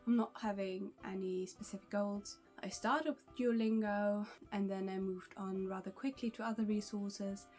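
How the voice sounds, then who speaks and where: A woman's voice: mid-range voice, a little mellow. A young woman whose first language is German, talking casually to camera in English about learning languages, with frequent restarts and small laughs. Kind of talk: casual vlog talk to camera.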